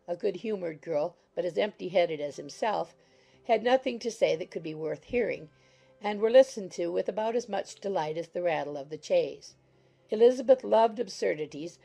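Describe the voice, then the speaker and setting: A woman reading a classic novel as an audiobook narrator, in a formal, expressive storytelling manner. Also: on the low side, vocal fry. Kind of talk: scripted literary narration.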